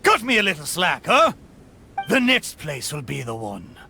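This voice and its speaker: Animated, blustering boat. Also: male voice, fairly high pitch, creaky, faint music behind, heavily acted. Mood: sheepish, boastful. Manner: blustering, drawn-out exclamations, pirate talk. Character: talking pirate boat, hearty, excitable old seafarer. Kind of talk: theatrical video game character acting.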